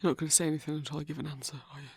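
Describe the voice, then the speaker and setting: A man voicing a shy, flustered werewolf hunter in an intimate ASMR roleplay, with a breathy, husky half-whisper and a halting stammer. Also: on the high side, level tone, darkish tone, dry recording. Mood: stunned, nervous. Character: flustered, guilt-ridden male werewolf hunter, awkward, shy.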